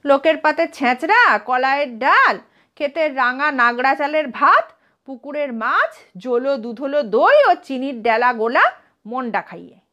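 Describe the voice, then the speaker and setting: A woman storyteller reading a literary story aloud in an expressive narrating style. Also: high-pitched.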